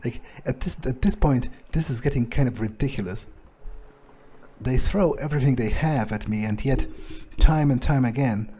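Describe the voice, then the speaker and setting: A man's voice: mid-range voice, moderate pace, warm tone, slight background noise. A man doing an in-character game playthrough as a jaded, cocky vampire, thinking aloud in a hesitant, muttering, sweary way. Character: jaded, cocky vampire outcast, musing to himself. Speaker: video game player speaking in character.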